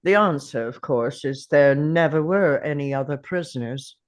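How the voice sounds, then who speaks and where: An actor playing a dying, grim and weary alien Time Lord in a dramatic script read, with a halting, pained delivery full of sighs. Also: medium pitch, lightly acted. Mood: brooding.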